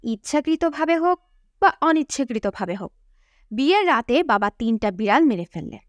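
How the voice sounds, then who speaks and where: High-pitched, animated woman narrating. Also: dry recording, clean studio sound. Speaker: audiobook narrator. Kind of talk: scripted novel narration.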